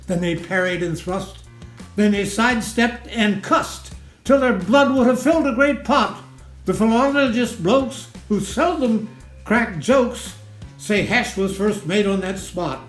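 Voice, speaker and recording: A man giving a theatrical recitation of a comic rhyming ballad in a measured, sing-song rhythm. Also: high-pitched. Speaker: poetry reader. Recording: roomy echo, music underneath. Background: music.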